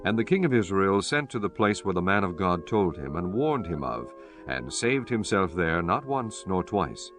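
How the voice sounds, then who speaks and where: Deep, expressive male scripture narrator over music. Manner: solemn, measured storytelling. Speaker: audiobook narrator. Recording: music bed under the voice.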